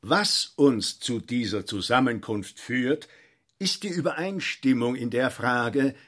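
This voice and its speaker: An actor playing a pompous aristocratic statesman in a literary radio drama, giving ceremonious formal oratory in a husky voice. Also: mid-range voice, animated, takes it slowly, a bit bright.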